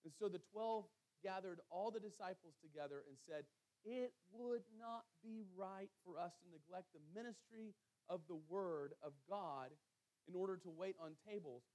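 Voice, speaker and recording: Male preacher, high-set voice, sermon delivery that leans on repetition and weighted pauses for emphasis. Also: darkish tone, low-quality recording. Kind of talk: sermon with scripture reading and storytelling.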